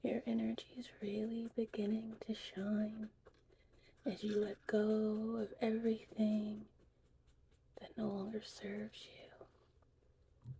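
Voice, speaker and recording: A woman speaking mostly in a soothing, slow whisper, as a gentle mystical witch in an ASMR roleplay. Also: medium pitch, completely flat delivery. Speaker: ASMR creator playing a witch who teaches potion making. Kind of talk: whispered ASMR roleplay, guided breathing and narration. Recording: clearly noisy, vintage VHS-tape styled audio.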